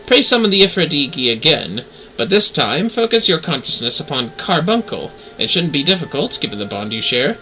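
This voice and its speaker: A man's voice: on the high side, very animated, brisk, pushed and bright, faint music behind, full character voice, low-quality recording. A man voicing a courteous, eager scholar in a video game, speaking in formal, archaic, eloquent phrases. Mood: fascinated.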